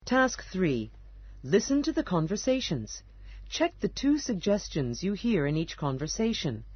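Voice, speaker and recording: A woman reading listening-task instructions in a clear, measured way. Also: lowish voice, very animated, no room sound. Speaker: instruction narrator. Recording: dull lo-fi audio.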